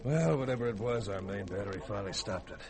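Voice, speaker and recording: A man acting a gruff but caring starship skipper in a sci-fi radio drama, giving clipped military orders. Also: fairly low pitch, expressive, quick pace. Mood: grim, resolute. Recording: hissy vintage radio drama recording.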